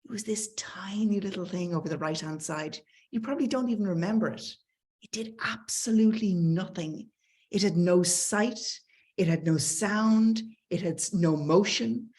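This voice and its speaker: A woman's voice: medium pitch, moderate pace, darkish tone. A middle-aged woman with an Irish accent gives a conversational, enthusiastic talk with slides, mixing in light self-deprecating asides. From Ireland. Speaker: tech company speaker.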